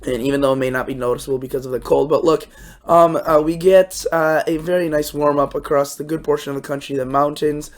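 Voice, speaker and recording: A young man hosting a weather channel, hoarse and raspy from a cold, talking casually through his forecast with lots of fillers and restarts. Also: a little high, fairly even intonation, fairly quick. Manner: rambling, many fillers and restarts.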